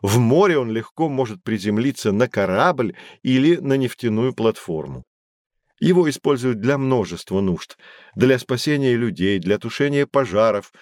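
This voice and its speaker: A man's voice: mid-range voice, expressive, normal speed, darkish tone, dry recording, studio quality, natural voice. A man playing a learned, patient guide who explains things to children in formal, didactic phrases in an educational audio drama. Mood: explanatory.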